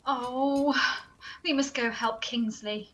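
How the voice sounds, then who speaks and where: A woman's voice: high-pitched, steady tone, slow. A woman playing a sensible, chiding assistant to a detective in a comic radio play, prim in manner. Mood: concerned.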